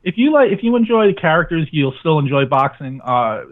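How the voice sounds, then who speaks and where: A man's voice: on the high side, very animated, brisk. A man chatting casually as an interview guest, giving blunt, opinionated answers. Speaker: guest, sports radio show host. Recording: thin phone-like line.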